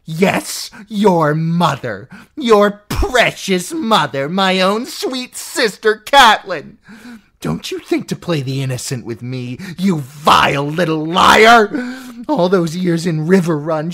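An audiobook narrator voicing a jealous, unhinged middle-aged noblewoman who accuses in a shrill, spiteful voice that rises into screeching. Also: sing-song, slow pace, few pauses. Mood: furious. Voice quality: shrill, piercing. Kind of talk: audiobook character voice.

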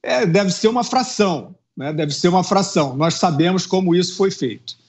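A man's voice: high voice, lively intonation, normal speed, a little mellow. A middle-aged man giving indignant political commentary on a news show over a video call, talking with earphones from a room at home, with frequent hesitations and tag questions. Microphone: earphones with mic on a video call.

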